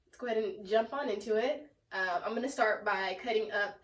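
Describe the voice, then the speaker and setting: A young woman with a sick, congested, raspy and nasal voice, chatting casually in a home plant vlog with lots of fillers. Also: mid-range voice, a little fast. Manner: chatty and self-deprecating, lots of "like" and "um". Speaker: plant vlogger. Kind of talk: casual rambling vlog chat. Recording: echoey room, mic at a distance.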